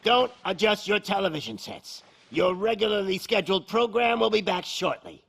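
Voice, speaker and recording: A man's voice: high voice, animated, moderate pace, creaky, a bit bright, breathy edge, heavily acted. A man playing a cocky, menacing gang boss in a video game, with a taunting showman's delivery. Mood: mock-announcer swagger. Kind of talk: video game villain acting.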